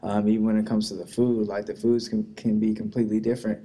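A young man from Texas with a low-pitched voice, talking as a guest on a podcast. He rambles casually and pads his talk with fillers, unhurried and easygoing. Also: little pitch movement, warm tone. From Texas, USA. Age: young adult. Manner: laid-back, rambling with many fillers. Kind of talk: casual conversation.

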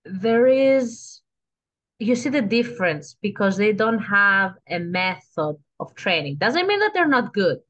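A woman's voice: medium pitch, sing-song, a little slow, a bit bright, lo-fi sound. A woman with a Greek accent, a trained actress and guest on a podcast, talking casually and often restarting her sentences. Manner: chatty, restarts sentences, asks "you know?" often.

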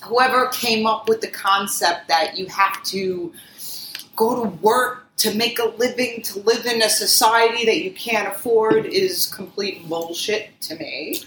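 A woman's voice: mid-range voice, measured pace, a bit bright. Blunt teasing New York woman co-host, echoey room, faint background noise. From Queens, New York. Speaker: podcast co-host. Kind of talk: casual podcast chat.

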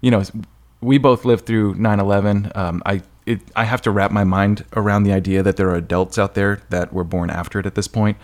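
A man's voice: lowish voice, fairly even intonation, fairly quick. A man hosting a podcast interview in a casual, informal way, thinking aloud with some hesitation. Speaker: podcast host interviewing an author.

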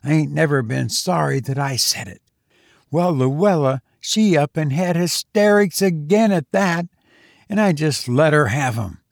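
A man reading a first-person story as an audiobook, in a folksy, expressive manner with rustic dialect phrasing. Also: a little high, a little slow, vocal fry, no echo, studio quality. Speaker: audiobook reader.

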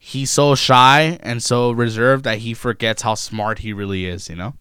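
A young man talking in casual podcast chat, loose, profane and rambling, trailing off into asides. His voice is smooth and resonant, and the recording is close and dry with no room sound. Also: mid-range voice, steady tone, moderate pace, sharp tone, no room sound.

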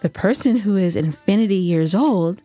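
A woman co-hosting a podcast, telling a story in casual banter with teasing, dramatic, drawn-out emphasis. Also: medium pitch, animated, warm tone, no room sound, faint music behind. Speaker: podcast co-host.

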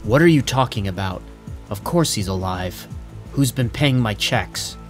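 A man playing a dutiful police captain in an audio drama, deferential and measured in manner. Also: on the low side, animated. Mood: puzzled, defensive. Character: dutiful, composed male police captain serving mobsters. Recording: music and rain under the voice.

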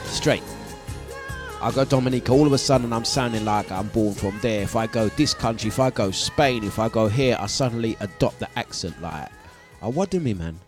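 A man with an East London accent hosting a radio breakfast show, giving casual shout-outs and jokey, self-mocking banter, laughing often. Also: fairly low pitch, brisk. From England. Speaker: radio DJ host. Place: radio studio. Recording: music playing under the voice. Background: music bed.

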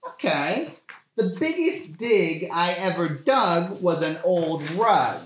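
Cantankerous, folksy dig professor, played by a man, high voice leaping around, fed up with everyone. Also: big pitch swings, slow pace, heavily acted. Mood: grumpy, fed up. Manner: cantankerous, folksy turns of phrase. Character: crabby, fed-up male archaeology professor and boss. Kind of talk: comedy sketch table read. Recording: roomy, echoing podcast recording.

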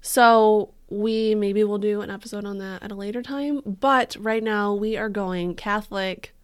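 A woman's voice: medium pitch, fairly even intonation, unhurried, clear, resonant tone. A young woman co-hosting a casual true crime podcast, joking around and peppering her speech with "like". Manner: bubbly, joking, heavy use of "like". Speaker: podcast co-host.

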